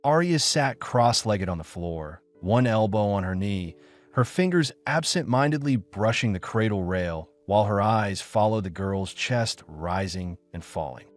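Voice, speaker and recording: A man narrating a science fiction audiobook in a measured, solemn, dramatic storytelling manner. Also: lowish voice.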